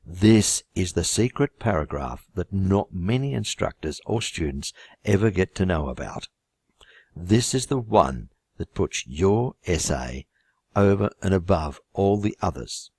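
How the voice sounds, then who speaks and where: An older Australian man with a husky voice introduces a writing course in a relaxed, conversational way, with self-deprecating humor. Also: low voice, dry recording, clean studio sound. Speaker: IELTS writing teacher.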